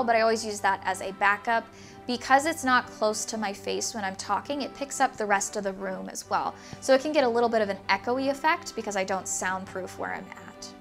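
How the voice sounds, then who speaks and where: Young woman vlogger, higher slightly nasal voice, easy explanatory delivery on a lapel mic, faint music underneath. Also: fairly bright tone. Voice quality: higher-pitched voice, somewhat nasal. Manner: chatty, explains gear step by step, gestures with her hands. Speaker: YouTube creator. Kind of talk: casual explanatory talk to camera. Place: small home studio room with shelves, indoors, not soundproofed. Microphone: small lapel mic clipped to hoodie.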